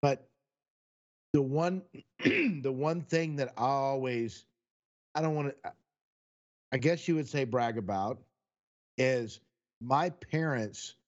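A man's voice: medium pitch, takes it slowly, long pauses, highs cut off. A man in his sixties chatting casually on a podcast, rambling through stories. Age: 60s. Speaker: podcast co-host.

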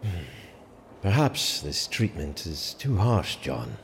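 An actor playing a firm, measured sheriff who speaks formally and with authority in a medieval audio drama. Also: male voice, deep voice, big pitch swings, vocal fry, exaggerated voice. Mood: reflective, weary. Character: commanding, measured sheriff with some compassion. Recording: long hall reverb, faint ambient noise.

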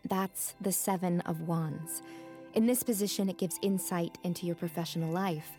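A woman's voice: on the low side, fairly even intonation, normal speed, warm tone, soft background music, natural voice. An actress playing a kind young woman who reads tarot, speaking earnestly in an audio drama. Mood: attentive.

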